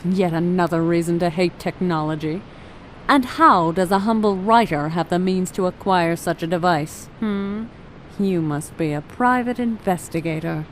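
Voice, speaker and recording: A woman's voice: lowish voice, moderate pace, slight background noise, natural voice, poor audio. A woman voicing a cold, scheming middle-aged mother who is a murderer, in an amateur radio drama, speaking with haughty disdain. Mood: scornful.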